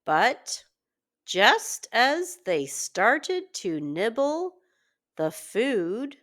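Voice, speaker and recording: A woman teacher reading a children's story aloud with deliberate, word-by-word phrasing for early readers. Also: on the high side, big pitch swings, a bit bright. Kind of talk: children's story read-aloud, scripted narration.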